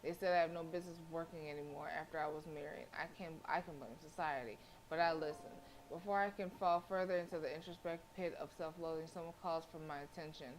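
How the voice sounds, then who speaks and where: A woman's voice: deep voice, fairly even intonation, fast, hardly any pauses, a little hiss or hum, rough recording. A woman live streamer reading a visual novel aloud expressively, breaking in with slangy, casual interjections. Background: game music.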